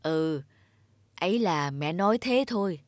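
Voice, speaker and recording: A woman's voice: lowish voice, animated, a little slow, dry recording, studio quality. A woman voicing a humble, anxious elderly mother from the countryside in a cartoon. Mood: worried, hesitant. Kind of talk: cartoon dubbing.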